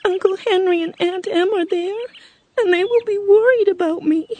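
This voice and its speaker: An innocent young storybook girl, played by a woman reader, polite and homesick. The voice is high and soft-toned, lifting and falling in earnest, anxious pleas. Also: expressive, measured pace, no echo. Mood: tearful pleading. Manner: earnest, pleading. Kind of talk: storybook character voice.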